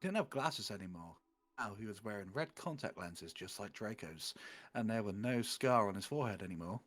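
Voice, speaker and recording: A man's voice: fairly low pitch, expressive, brisk, fairly bright tone. A man reading fan fiction aloud in a comic way, with casual banter in between.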